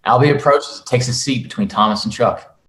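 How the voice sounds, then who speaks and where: A man with a medium-pitched voice reads stage directions as dramatic scripted narration. He is recorded in an echoey room. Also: brisk, fairly bright tone. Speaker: narrator reading stage directions at a table read.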